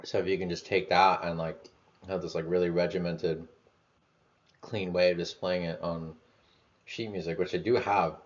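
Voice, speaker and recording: A man's voice: deep voice, level tone, normal speed, pauses often. A man talking through his thoughts in a loose, rambling way, full of fillers, restarts and the odd casual swear. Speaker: vlogger. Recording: dull lo-fi sound.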